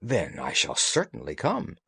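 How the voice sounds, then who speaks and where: A man voicing a detective's loyal, puzzled gentleman companion in an audiobook, earnest and plain-spoken. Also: on the low side, huge pitch swings, measured pace, gravelly, slightly rough, no echo, full character voice. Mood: steady, willing. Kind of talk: audiobook character dialogue.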